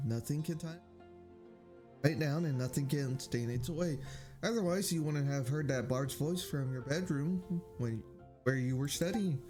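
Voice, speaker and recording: A man's voice: on the high side, darkish tone, natural voice. A man voicing a playful, poetic wandering bard, reading game dialogue aloud with whimsical phrasing and small stumbles. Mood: encouraging. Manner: whimsical, flowery, stumbles over read lines. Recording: game music behind.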